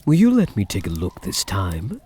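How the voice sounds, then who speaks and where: A man as a husky, seductive vampire count. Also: deep voice, wildly swooping pitch, a little mellow, full character voice. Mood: teasing, coaxing. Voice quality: husky, breathy. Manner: slow, teasing, mock-sympathetic purr. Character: possessive, teasing male vampire count, seductive and mocking. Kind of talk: soft-spoken ASMR roleplay.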